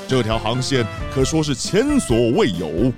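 A man's voice: medium pitch, huge pitch swings, unhurried, over music. A man playing a pompous, jovial city mayor in a children's audio drama, speaking in a grand, theatrical way. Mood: proud, booming.

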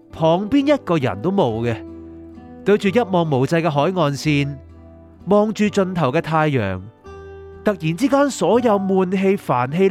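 A man's voice: sits high, a little mellow. A man playing a recently dead, reflective young man at peace with letting go, in a radio drama. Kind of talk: Cantonese radio drama acting and first-person narration.

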